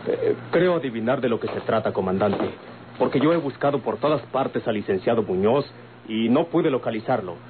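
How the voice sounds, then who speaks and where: A man's voice: medium pitch, expressive, normal speed, narrow, tinny audio, natural voice. Man playing earnest Mexican radio-drama character, thin sound. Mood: earnest, serious. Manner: formal, explanatory, insistent. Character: earnest, polite man reporting to police. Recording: thin, hissy old radio recording.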